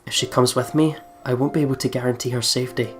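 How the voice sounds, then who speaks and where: A man's voice: medium pitch, fairly even intonation, quick pace. Man reading a game story over faint music. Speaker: let's play host reading the story aloud. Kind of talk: expressive story reading with casual game commentary.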